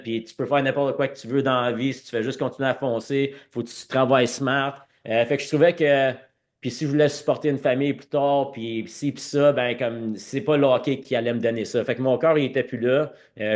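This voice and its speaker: Male podcast guest, French Canadian accent, medium pitch, conversational storyteller who mixes in English words. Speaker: podcast guest, former hockey player.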